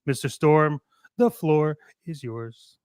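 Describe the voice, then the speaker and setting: High-voiced showy male host, clean and dry. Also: takes it slowly, a little mellow, clean tone, no echo. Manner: showy, cheeky, swears casually. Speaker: podcast host. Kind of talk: casual hype show opening.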